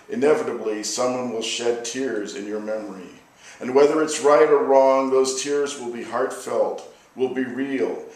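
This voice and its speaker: An American man speaks at a live poetry reading in a very echoey room, some distance from the microphone. His pitch is average for a man, and his phrasing is measured and deliberate. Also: a little mellow. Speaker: poet reading own work. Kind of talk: live poetry reading with informal spoken introduction.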